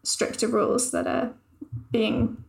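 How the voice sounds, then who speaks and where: High, even-toned woman with vocal fry. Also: poor audio. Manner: measured, explanatory. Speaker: journalist on a news podcast. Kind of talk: podcast discussion.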